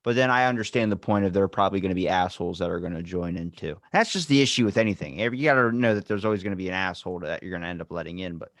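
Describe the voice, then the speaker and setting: A man hosting a podcast, chatting casually and bluntly, swearing freely with animated asides. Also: sits low, very fast, few pauses, no room sound, studio quality. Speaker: podcast host. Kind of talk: casual interview chat.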